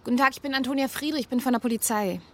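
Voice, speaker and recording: An actress playing an awkwardly friendly police officer in a naturalistic radio drama, questioning gently and hesitantly. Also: female voice, high voice, rapid-fire, background noise, close to an everyday voice. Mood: polite, probing.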